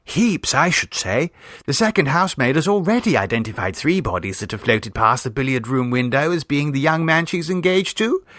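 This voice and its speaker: A man puts on a mischievous Edwardian girl of sixteen in a storybook reading, high in pitch and swooping dramatically. She is breezy, grand and utterly self-assured, with a dry close sound. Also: expressive, normal speed, fairly bright tone, dry recording. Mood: breezy, dramatic. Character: dramatic, self-assured sixteen-year-old Edwardian niece, mischievous tall-tale teller.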